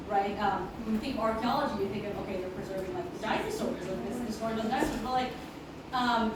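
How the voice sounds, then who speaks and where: A middle-aged woman from Hong Kong, a lawyer giving an earnest public speech with many ums, mixing English and Cantonese. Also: mid-range voice. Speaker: deputy city attorney giving a speech. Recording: echoey room, voice from a distance, clear background noise.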